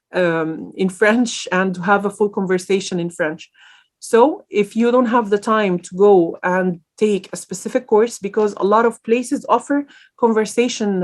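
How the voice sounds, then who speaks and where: Hesitant woman lecturer. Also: mid-range voice. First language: Arabic. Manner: informal and hesitant, many fillers and restarts. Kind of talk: live online lecture and Q&A.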